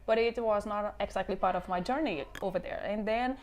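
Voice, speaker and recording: A woman's voice: mid-range voice, a little fast, a little mellow. A middle-aged woman from Brazil, Portuguese her first language, speaking English with a Brazilian accent as an interview guest, talking in a chatty way with many restarts. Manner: chatty, restarts and repeats words, laughs often.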